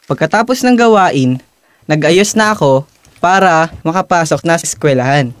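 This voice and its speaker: A man's voice: on the high side, expressive, normal speed, clear, resonant tone, lightly acted. A young man acting an earnest, conflicted schoolboy who narrates his own story in an amateur radio drama, with a Filipino accent. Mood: reflective. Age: young adult.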